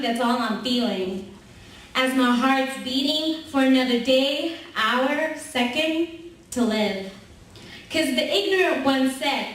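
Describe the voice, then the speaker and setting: A woman's voice: sits high, fairly bright tone. A young woman from Sri Lanka reciting an earnest spoken word poem at an open mic. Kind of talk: spoken word poetry performance. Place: university event hall. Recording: echoey hall recorded from far off.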